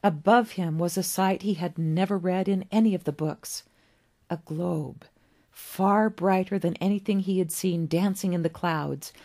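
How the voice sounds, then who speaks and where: A woman reading literary fiction aloud as an author would, with an expressive, dramatic storybook delivery and deliberate pauses. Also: on the low side. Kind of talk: expressive scripted story narration.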